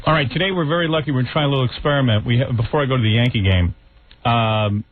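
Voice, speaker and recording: A fast-talking male radio host, quick and teasing as he jokes with his callers. His voice is heard over a tinny, narrow broadcast line. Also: mid-range voice, dry recording. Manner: fast, teasing, joking talk. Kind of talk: morning radio banter with callers.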